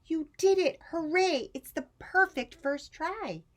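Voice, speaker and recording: A spirited old great-great-aunt from a children's storybook, voiced by a woman reader. She talks emphatically and encouragingly, with big swoops of pitch lifted well above the reader's plain narration. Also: expressive, slow, full character voice. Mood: jubilant, encouraging. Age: older. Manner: exuberant, emphatic. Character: elderly great-great-aunt, spirited, encouraging former airplane builder. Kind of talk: children's storybook character reading.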